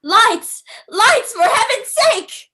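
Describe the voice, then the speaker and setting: A woman plays a terrified captive daughter in a radio horror drama. Her extremely high, bright voice shrieks out slow, drawn-out cries. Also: sing-song, heavily acted. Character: terrified abducted daughter crying for help. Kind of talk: old-time radio horror acting.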